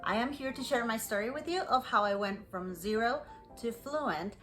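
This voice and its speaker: A native Spanish-speaking woman from Costa Rica with a Costa Rican accent, telling a personal story to learners as an English teacher, clearly phrased and encouraging. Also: a little high, expressive, moderate pace, a bit bright, faint music behind.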